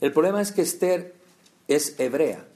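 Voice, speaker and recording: A man's voice: fairly high pitch, expressive, moderate pace, mellow. A man from preaching a conversational sermon, talking straight to his listeners with rhetorical questions.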